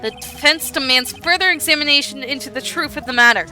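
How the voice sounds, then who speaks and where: Earnest courtroom-game defense lawyer, played by a woman, fairly high voice, declamatory, music behind. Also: fast talker, bright, forceful tone. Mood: determined. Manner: emphatic, declamatory.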